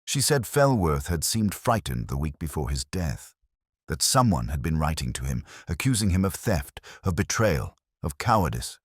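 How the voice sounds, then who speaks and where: A man narrating a scripted first-person detective story in measured, literary phrasing. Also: extremely low pitch, big pitch swings, husky, no room sound, clean studio sound.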